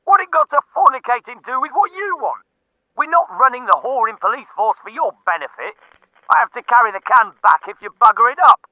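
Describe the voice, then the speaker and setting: A man's voice: extremely high pitch, very animated, fairly quick, no echo, voice pushed up. A man voicing a foul-mouthed, furious police superior who blusters and bellows down a telephone line in an audiobook. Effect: telephone filter. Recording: thin band-limited telephone sound.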